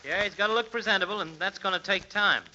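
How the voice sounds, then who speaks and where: An actor playing a chatty, opinionated small-town barber in American 1940s radio comedy, with a folksy, garrulous way of talking. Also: male voice, high-pitched, fast talker, natural voice. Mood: breezy. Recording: muffled old radio broadcast with hiss.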